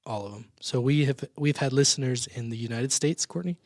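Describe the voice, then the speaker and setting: A man hosts a small church podcast in a loose, friendly chat. He laughs often and trips over his words as he goes. His voice sits in a middle pitch and sounds close and dry. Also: brisk, darkish tone, dry recording. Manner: chatty, laughs often, stumbles and restarts. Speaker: podcast host.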